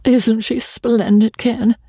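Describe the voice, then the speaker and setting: A woman's voice: on the high side, flat delivery, takes it slowly, dark tone, husky, dry recording, studio quality, voice pushed up. A woman reading a story voices a tearful, emotional young daughter pleading with her mother.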